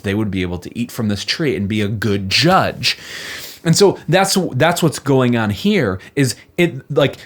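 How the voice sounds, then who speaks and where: A man giving a casual explanatory talk as a presenter, conversational, often posing rhetorical questions and checking in with short tags. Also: mid-range voice. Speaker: presenter of a Bible commentary channel.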